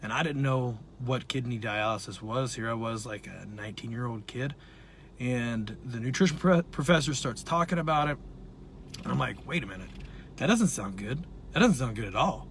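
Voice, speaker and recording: A man who is a dietitian and nutrition professor, talking casually and earnestly to his viewers while his voice is raspy from laryngitis. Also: medium pitch, expressive, moderate pace, fairly bright tone, slight background noise. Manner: earnest, conversational, with frequent fillers. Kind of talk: casual talk to camera.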